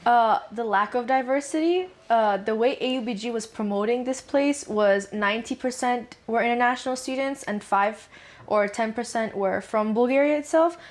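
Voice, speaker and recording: A woman's voice: fairly high pitch, moderate pace. A young woman from Dubai, a student guest answering interview questions in a frank, chatty way and laughing easily. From Dubai, UAE. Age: young adult.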